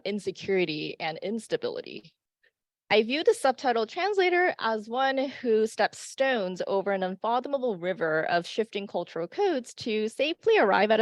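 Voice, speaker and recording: Woman, conference presenter, measured academic reading, frequent uh fillers, dry sound, mid-range voice. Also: mid-range voice, no room sound. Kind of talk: reading an academic paper aloud. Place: conference session.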